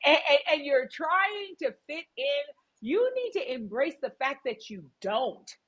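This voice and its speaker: A woman's voice: high-pitched, very animated, slow pace, fairly bright tone, lo-fi sound. A middle-aged woman speaking as a guest in an interview, telling her story emphatically and with animation. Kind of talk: candid interview conversation.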